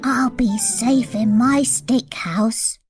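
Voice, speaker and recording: A woman voicing a timid little pig in a children's storybook game, squeaky, trembling and anxious. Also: fairly even intonation, drawn-out words, over loud music. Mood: worried, frightened.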